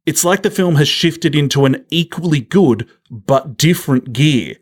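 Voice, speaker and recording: Animated man on a film podcast. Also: a little high, unhurried. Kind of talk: casual film discussion.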